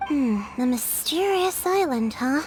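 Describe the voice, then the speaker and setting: A lady tribe chief in an anime game, high voice, polite n composed, music behind. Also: animated. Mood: welcoming. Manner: polite, measured explaining.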